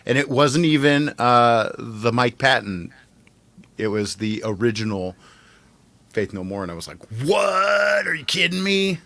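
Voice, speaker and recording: A man's voice: mid-range voice, expressive, a little slow, creaky, sharp tone. A man co-hosting a podcast, talking in a casual, conversational style. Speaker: podcast co-host. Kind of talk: casual chat.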